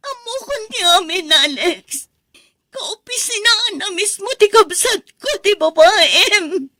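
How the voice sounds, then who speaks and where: An actress playing a wronged, emotional young wife and mother in a radio drama, with a husky voice and an Ilocano accent. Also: very high-pitched, expressive, takes it slowly, pushed and bright, no room sound, heavily acted. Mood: tearful fury. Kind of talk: Filipino radio drama acting.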